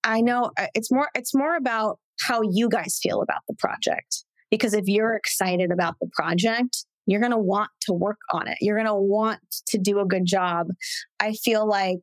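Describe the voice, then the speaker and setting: A woman's voice: medium pitch, moderate pace, no room sound. A woman, a TV showrunner, talking frankly and casually as a podcast guest, swearing now and then. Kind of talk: candid casual conversation.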